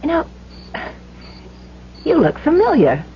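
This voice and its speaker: An actress playing a poised, flirtatious and direct librarian in a radio drama. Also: female voice, sits high, huge pitch swings, slow pace, full character voice. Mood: playful, flirtatious. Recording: dull 1970s radio broadcast, faint noise.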